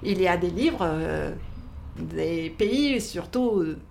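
A woman's voice: fairly low pitch, animated, slow pace, vocal fry, darkish tone, a little hiss or hum. A woman bookseller, not a native French speaker, talking about books in an interview, hesitant with many fillers and restarts. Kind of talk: interview conversation.

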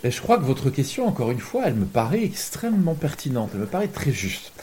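A man with a mellow, soft-edged voice at a middling pitch. He answers as an interviewed reporter, deliberate and reflective, circling back to rephrase his points. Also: sing-song, a little hiss or hum. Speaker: journalist, war reporter being interviewed.

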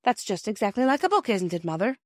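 A woman reading the part of an eager, brisk young boy in an audiobook. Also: mid-range voice, no room sound, studio quality, pitched above the performer's own voice, lightly acted. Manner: brisk, excitable, indignant.

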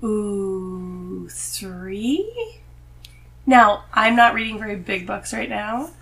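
A woman's voice: mid-range voice, lively intonation, extremely slow, vocal fry, a bit bright. A woman talking casually as a guest on a podcast. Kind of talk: casual chat.

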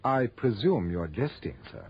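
Fussy genteel male art dealer, animated intonation, formal and hesitant, dull old radio sound. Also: on the low side, a little slow, a little hiss or hum. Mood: earnest. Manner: prim, formal, hesitant phrasing. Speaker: supporting actor. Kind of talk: 1960s radio drama acting.

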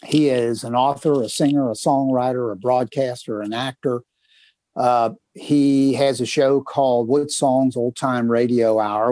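A man's voice: medium pitch, dark tone, no room sound. A man co-hosting a podcast, chatty and storytelling, full of fillers as he plugs upcoming segments. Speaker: co-host. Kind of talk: casual podcast chat. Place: home in northern Kentucky.

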